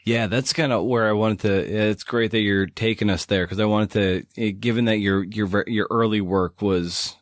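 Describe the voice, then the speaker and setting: A man's voice: lowish voice, fairly even intonation, normal speed, hardly any pauses, dry recording, clean studio sound. A man hosting a casual interview podcast, feeling his way through loosely phrased, informal questions.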